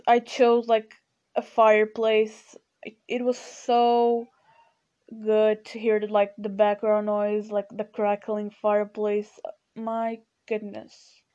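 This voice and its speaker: A woman's voice: on the high side, a little mellow, highs cut off. A teenage girl from Portugal, a native Portuguese speaker, rambling sleepily in a casual personal chat and saying "you know" a lot. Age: teens. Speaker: podcast host.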